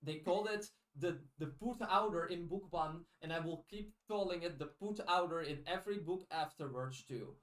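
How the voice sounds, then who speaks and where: Dutch guy chatting on a podcast, bit high voice, rambles and restarts, really echoey and distant. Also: normal speed, few pauses. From Netherlands. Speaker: podcast host. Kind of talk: casual podcast chat. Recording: echoey room, distant from the mic.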